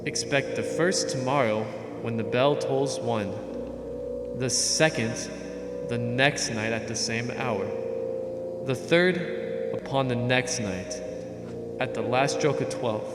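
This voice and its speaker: A man plays a doomed male ghost in a radio drama, grieving and ominous. Every phrase is slow and solemnly declaimed, ringing in a cavernous reverb over loud music. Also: mid-range voice, lightly acted. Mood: grave warning. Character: mournful chained male ghost warning an old partner.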